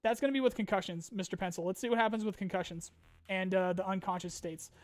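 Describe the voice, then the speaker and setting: A man's voice: high-pitched, fast talker. A man streaming a casual chat, reading viewer questions aloud and answering loosely with fillers, a little tired and his voice getting strained.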